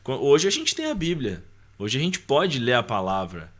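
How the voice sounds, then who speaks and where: A man's voice: medium pitch, huge pitch swings, moderate pace, fairly bright tone. A man with a Brazilian accent speaking as a podcast guest, sharing personal faith and life in an informal, conversational way, often checking for agreement.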